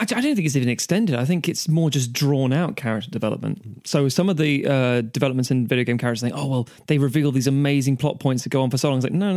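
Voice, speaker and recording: A man's voice: medium pitch, a little mellow, no echo, clean studio sound. A man in a casual podcast discussion, giving opinions emphatically and voicing imagined quotes as he argues. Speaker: podcast panelist.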